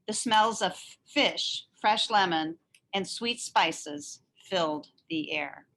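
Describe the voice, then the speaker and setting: A woman reading a children's story aloud in an expressive, animated narrating style. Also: on the low side, takes it slowly. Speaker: story reader.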